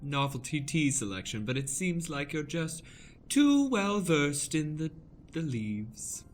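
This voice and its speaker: A man's voice: a little high, big pitch swings, slow, soft background music. Man playing Matilda, a coy scheming lady. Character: Matilda, scheming seductive wealthy lady on a date. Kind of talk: improvised radio play acting.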